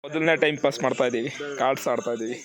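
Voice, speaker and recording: A man's voice: mid-range voice, rapid-fire, rough recording. A young man, chatting casually and bantering as he vlogs among friends. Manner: informal, bantering. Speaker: vlogger. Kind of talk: casual vlog chat with friends. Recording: loud chatter of people around. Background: group of friends talking.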